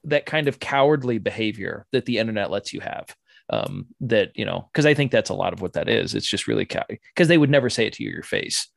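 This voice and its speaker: A man hosting a casual podcast in a gravelly voice. He talks in a relaxed way, throwing in wry asides and laughing often. The recording is dry, with no room sound. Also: lowish voice, fairly quick, dry recording. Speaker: podcast host.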